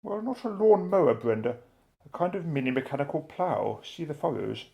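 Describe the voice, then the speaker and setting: An actor playing a dashing, unflappable gentleman inventor and pilot with a jaunty, old-fashioned chummy manner in a radio adventure. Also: a little high, expressive, moderate pace, gravelly. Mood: breezy. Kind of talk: radio adventure drama acting.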